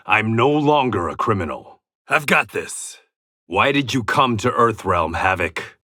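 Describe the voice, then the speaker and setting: A man's voice: fairly low pitch, sharp tone, slightly rough, dry recording, studio quality, exaggerated voice. An actor playing a proud, stern blind swordsman in a fighting game, with clipped, taunting and wry delivery. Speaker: video game fighter character. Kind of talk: dramatic fighting game voice lines.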